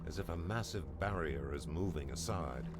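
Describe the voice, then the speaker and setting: A man's voice: extremely low pitch, normal speed. A man acting as a dungeon master, narrating a fantasy adventure game in scripted second person over music. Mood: ominous, measured.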